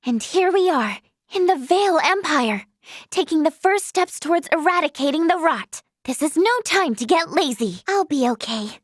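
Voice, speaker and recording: Super high girly video game healer, young, earnest and bubbly, dry close sound. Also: moderate pace, dry recording. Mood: determined. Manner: girlish, expressive, earnest.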